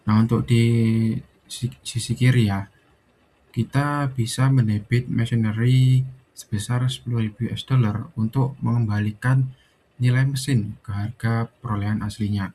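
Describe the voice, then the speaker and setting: A man's voice: medium pitch, steady tone, normal speed, dark tone, rough recording. A young man giving an explanatory student presentation, often adding little check-in tags. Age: young adult. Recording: faint background noise.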